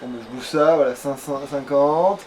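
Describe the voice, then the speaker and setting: High-voiced man doing casual game commentary. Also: normal speed, a little mellow, slight background noise, rough recording. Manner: rambling, playful, mildly foul-mouthed. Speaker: let's player, playing and hosting the video. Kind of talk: casual let's play commentary.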